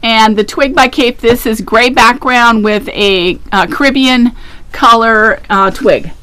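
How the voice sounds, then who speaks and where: A woman's voice: fairly high pitch, slow pace, sharp tone, clear, resonant tone. Chatty woman presenting fabrics. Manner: chatty, laughs easily. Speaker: fabric shop co-host. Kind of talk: casual live product showcase.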